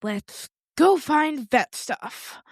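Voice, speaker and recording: A woman's voice: high-pitched, animated, extremely slow, no room sound, studio quality, heavily acted. A woman playing an anxious, sweet young girl in an audio drama, speaking in a hesitant, childlike manner. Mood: tender, resolved.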